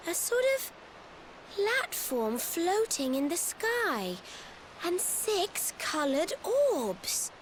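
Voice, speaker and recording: A woman's voice: very high voice, sing-song, very slow, slight background noise, exaggerated voice, poor audio. An actress playing a bossy, hot-tempered little girl in a video game, blunt and emphatic. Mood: wondering. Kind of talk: video game cutscene acting.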